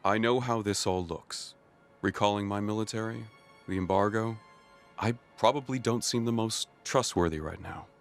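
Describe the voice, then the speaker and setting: A man plays an earnest, stern cartoon commander of middle age. He speaks formally and with measure in a low, creaky voice, with music underneath. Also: normal speed, lightly acted. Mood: resolute. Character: stern, weary, earnest middle-aged male military leader. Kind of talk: animated series drama acting.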